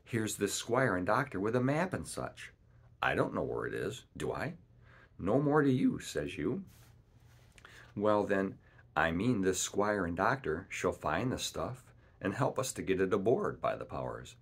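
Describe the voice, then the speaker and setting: A man's voice: deep voice, big pitch swings, unhurried, breathy edge, full character voice. A man voicing a cunning, domineering pirate ship's cook in a storybook, with boastful, wheedling, rustic seafaring speech. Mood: exasperated, scheming.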